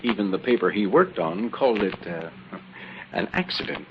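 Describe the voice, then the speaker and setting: An actor playing a tough, menacing gang boss who barks curt orders in an old-time radio crime drama. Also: male voice, fairly low pitch, big pitch swings, moderate pace. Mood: cold, firm. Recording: muffled, noisy old radio recording.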